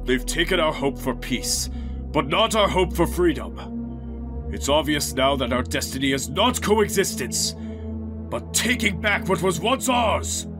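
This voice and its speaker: A man playing a grief-stricken, gentle goat-monster king who can turn resolute, in a dramatic comic dub. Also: fairly high pitch, expressive, a little slow, music in the background. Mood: defiant, resolute.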